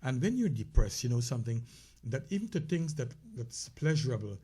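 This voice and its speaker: A man's voice: mid-range voice, sing-song, brisk, dark tone, breathy edge. A man with a Caribbean accent co-hosting a casual health talk show, speaking conversationally with many fillers and restarts. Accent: Caribbean English.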